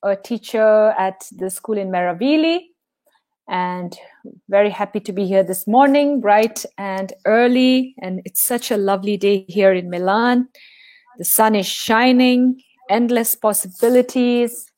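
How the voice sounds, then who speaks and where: A cheerful woman teaching a live online English class. She speaks slowly and stretches out her words, full of enthusiasm and quick to laugh. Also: medium pitch, a little mellow.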